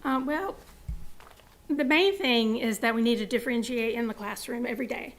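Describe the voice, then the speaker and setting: A woman presenting to a school board, explaining with fillers, her voice going hoarse. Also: fairly high pitch, normal speed. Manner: informal, with fillers and explanatory asides. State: losing her voice.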